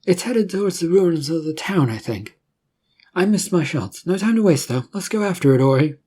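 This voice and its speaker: A man's voice: on the high side, expressive, normal speed, warm tone, slightly rough, pitched above the performer's own voice. A man voicing a polite, composed and chatty adventuring companion in a narrated story. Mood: determined.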